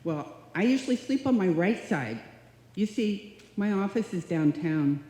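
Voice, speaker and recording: A woman's voice: medium pitch, lively intonation, a little slow, dark tone, lightly acted. A woman playing a naive, scatterbrained young roommate in a live old-time radio comedy, earnest and dim-witted. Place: live stage performance in a hall. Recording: echoey hall, live stage.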